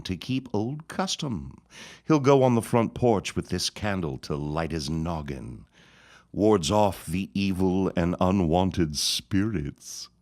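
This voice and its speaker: A deep-voiced scheming reverend. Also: male voice. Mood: gloating. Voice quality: deep, slow, drawn-out menace. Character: scheming sinister small-town reverend, gloating. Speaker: Reverend Gaumont. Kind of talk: radio drama acting.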